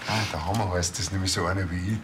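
A man's voice: sits low, fairly even intonation, fast talker, background noise, close to an everyday voice. An actor playing a naive, easygoing village policeman in a TV drama, speaking casually with a Bavarian accent. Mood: earnest. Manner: casual, colloquial. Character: naive, laid-back male village policeman.

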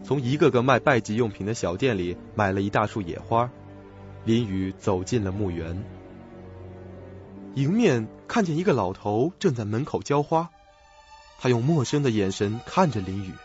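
A man's voice: lowish voice, expressive, normal speed, a little mellow. A man narrating a radio drama in a scripted storytelling style. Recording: dull lo-fi audio, music behind.

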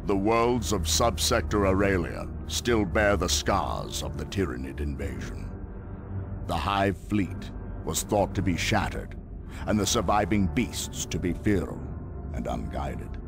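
Very deep, solemn space marine. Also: male voice, animated. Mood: ominous. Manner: solemn, measured, ominous. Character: grave, weary armoured male space marine warrior narrator. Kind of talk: dramatic scripted cutscene narration. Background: music.

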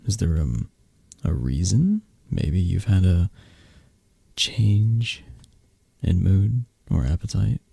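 Casting: tender, possessive mafia lover , acted by a man for ASMR roleplay; dark mellow tone, lively intonation, breathy gravelly murmur. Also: sits low, slow pace, no echo, heavily acted. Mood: teasing, gentle. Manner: soft, hesitant, murmuring, breathy. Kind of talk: intimate boyfriend-roleplay ASMR.

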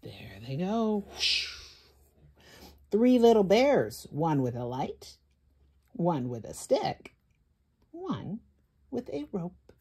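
A woman's voice: lowish voice, huge pitch swings, very slow, pauses often, a little mellow, husky. A woman hosting a children's story time, reading aloud in a dramatic, suspenseful way with whispers and gasps.

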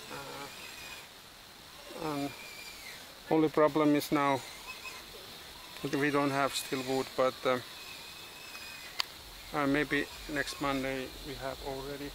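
A man in his forties, a non-native English speaker, vlogging casually in halting, simple phrases into a handheld camera. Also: medium pitch, gravelly. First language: not English. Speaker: farm vlogger. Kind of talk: casual vlog talk to camera. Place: outdoors on a rural farm clearing among trees. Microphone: camera held at arm's length. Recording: loud insects and animal noise outdoors.

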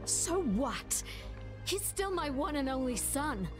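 Shrill defensive mother, high and swooping, game voice. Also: very animated, slow pace, over music, heavily acted. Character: clingy, defensive mother of a puppet son.

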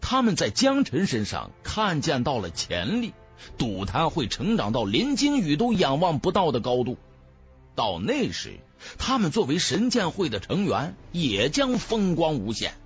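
A man's voice: fairly high pitch, huge pitch swings, measured pace, breathy edge. A man narrating a fantasy audiobook in a dramatic, scripted storytelling style. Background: background music.

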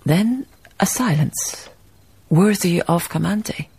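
Low, creaky, reflective baroness. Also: female voice, animated, mellow, full character voice. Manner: measured, thoughtful, literary. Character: reflective, dignified baroness, storytelling colonial farm owner. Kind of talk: radio drama acting and narration.

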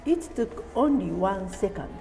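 Elderly Japanese-native woman reading slowly. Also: medium pitch, animated, mellow, big-room sound, slight background noise. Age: 80s. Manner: slow, careful, deliberate reading from notes. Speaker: atomic bombing survivor. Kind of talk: reading a prepared testimony. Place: indoor room, seated at a table.

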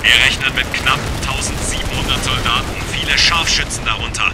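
A man playing a matter-of-fact military general in a science fiction audio drama, with measured, official delivery. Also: mid-range voice, fairly even intonation, close to an everyday voice. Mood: sober briefing. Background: loud music and battle sounds.

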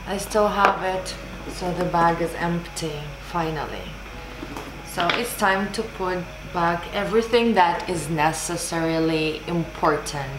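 A woman's voice: lowish voice, measured pace, noisy background. A woman in her twenties who is not a native English speaker, chatting casually to the camera as a vlogger in a room. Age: 20s. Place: small room indoors, seated at desk. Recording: roomy echo under background music. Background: background music.

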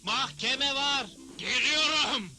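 A man's voice: very high-pitched, takes it slowly, over loud music. A man playing a domineering older Old West judge in a cartoon dub, blustering and theatrical as he revels in unfair law. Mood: gleeful, boisterous. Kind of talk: dubbed cartoon acting.

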